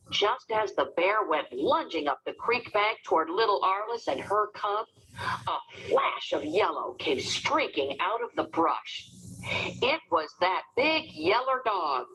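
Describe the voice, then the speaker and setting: A woman narrating a storybook as an expressive, dramatic read-aloud for children. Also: lowish voice, poor audio.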